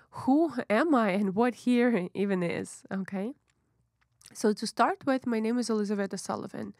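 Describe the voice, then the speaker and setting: A woman's voice: medium pitch, no room sound, studio quality. Russian woman telling her life story. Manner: warm, open, unhurried with frequent fillers. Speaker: psychologist and podcast host. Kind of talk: personal storytelling podcast.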